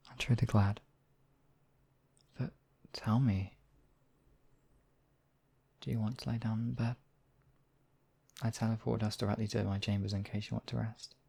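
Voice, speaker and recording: A man playing a demon king in an intimate ASMR roleplay, husky and theatrical, with deliberate phrasing and lingering pauses. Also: lowish voice, warm tone, heavily acted. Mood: soft, loving. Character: demon king, menacing captor act turning tender, devoted lover.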